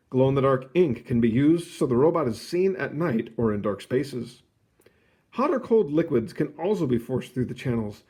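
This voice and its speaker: A man reading a children's nonfiction book aloud, phrasing it clearly and adding short casual asides. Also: medium pitch, darkish tone. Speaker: read-aloud host.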